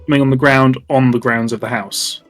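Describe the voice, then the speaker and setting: A man's voice: mid-range voice, fairly even intonation, a little fast, soft background music. A man playing a tabletop roleplaying game, talking casually in a questioning, stop-start way.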